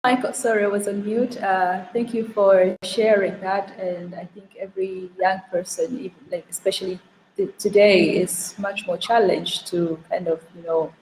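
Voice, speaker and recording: A woman from Tanzania moderating an online session over a video call, encouraging and a little halting with fillers. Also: mid-range voice, steady tone, a little mellow, roomy sound, slight background noise, poor audio.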